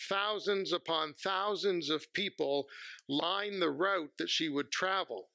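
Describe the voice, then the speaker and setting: A man preaches from a pulpit in a slightly high, gravelly voice. His tone is bright and forceful, and the sound is dry and close on a headset mic. He speaks in a measured, earnest way, pausing between phrases. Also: dry recording. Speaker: pastor. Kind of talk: sermon. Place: church sanctuary, at a pulpit.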